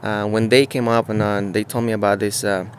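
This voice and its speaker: A man with a fairly low voice, speaking haltingly as he searches for his words. He is recorded outdoors on a field recorder, with faint traffic in the background. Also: level tone. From Mexico. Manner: halting, searching for words, many fillers and restarts. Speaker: muralist interviewee. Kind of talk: casual on-site interview. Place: outdoors beside a mural.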